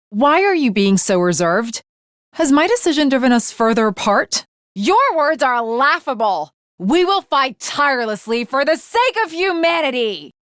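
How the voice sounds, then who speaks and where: A woman's voice: sits high, no echo, studio quality, exaggerated voice. A woman voicing an earnest, lovestruck teenage girl swordswoman in an anime video game, with formal, archaic, dramatic diction. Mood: coy, questioning, then indignant, battle-ready.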